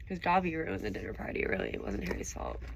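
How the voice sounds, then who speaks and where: A woman's voice: rumbling low, wildly swooping pitch, quick pace, gravelly, a little hiss or hum. A woman reading stories aloud expressively and chatting casually about them. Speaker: audiobook reader and show host. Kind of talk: expressive storybook narration, then casual chat with a child listener.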